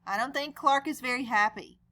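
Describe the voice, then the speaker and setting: A woman's voice: high-pitched, a little fast, pushed and bright. A woman reading a picture book aloud as a teacher would, chatting conversationally to young listeners with questions and explanations. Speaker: read-aloud storyteller and teacher.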